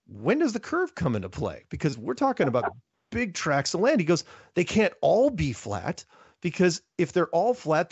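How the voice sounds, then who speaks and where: Male interview host, lively pitch swings, emphatic and conversational, slightly lo-fi audio. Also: no room sound. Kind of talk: conversational interview with asides to listeners.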